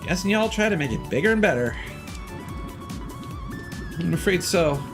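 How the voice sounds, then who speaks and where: A man voicing a sassy, dryly animated video game character. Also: sits high, moderate pace, creaky, over music, pitched above the performer's own voice.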